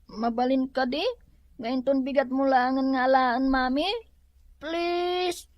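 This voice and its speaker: A woman's voice: high-pitched, fairly even intonation, slow, dry recording, lo-fi sound. A woman with an Ilocano accent playing a pleading young schoolgirl in a radio drama.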